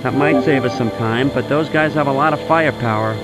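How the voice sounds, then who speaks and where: An actor playing a nervous, practical field technician in a video game, a little hesitant. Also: male voice, mid-range voice, brisk, close to an everyday voice, rough recording. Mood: practical, worried. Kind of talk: video game cutscene acting. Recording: loud music behind, game audio.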